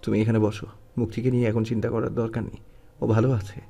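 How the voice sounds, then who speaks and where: A man's voice: fairly low pitch, flat delivery, fast, creaky, warm tone. A man playing a caring young man watching over someone in a Bengali audio story. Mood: soothing, concerned. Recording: music under the voice.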